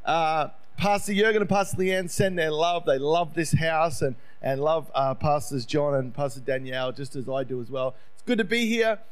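A man's voice: a little high, normal speed, few pauses, clean tone. A middle-aged Australian man preaching as a visiting speaker, telling his personal story in a conversational, self-deprecating way. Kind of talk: sermon, personal testimony.

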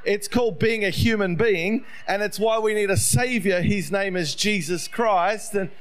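A man's voice: high-pitched, normal speed, fairly bright tone, clear, resonant tone. A middle-aged Australian man preaching as a visiting speaker, telling his personal story in a conversational, self-deprecating way. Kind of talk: sermon, personal testimony.

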